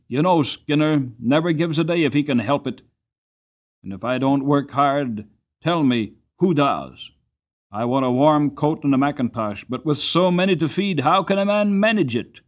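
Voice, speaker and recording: A man's voice: a little high, voice pushed up, lightly acted. A man voicing a shabby, desperate London cab driver in an audiobook, complaining in an impassioned, emphatic way. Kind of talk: dramatic audiobook character reading.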